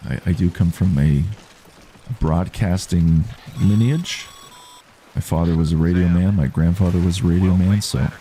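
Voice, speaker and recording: Relaxed male streamer, low dark baritone, easygoing commentary over light background noise. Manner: calm, unhurried, reads chat aloud. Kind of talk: relaxed live gameplay commentary.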